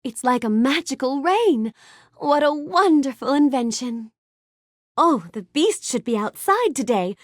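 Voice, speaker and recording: A woman's voice: sits high, expressive, a little slow, dry recording, studio quality. A woman voicing a gracious, bookish young storybook heroine in a video game, speaking politely and expressively with careful enunciation. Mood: delighted wonder. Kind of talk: scripted video game character lines.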